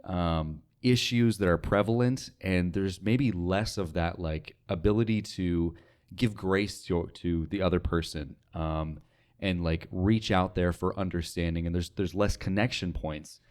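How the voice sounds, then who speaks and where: A low-pitched American man hosts a relaxed, informal conversation. He talks casually, scattering "like" through his sentences as he works toward his point. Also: unhurried. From mainland United States. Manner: informal, heavy use of "like". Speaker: interview host. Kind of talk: casual chat.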